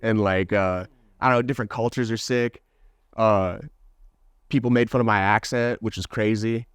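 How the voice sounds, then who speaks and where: A man's voice: on the low side, fairly even intonation, moderate pace, clear, resonant tone, no echo. A young man with a Midwestern American accent, chatting on a podcast in a loose, rambling way full of "like". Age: young adult. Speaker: podcast guest. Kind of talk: casual chat.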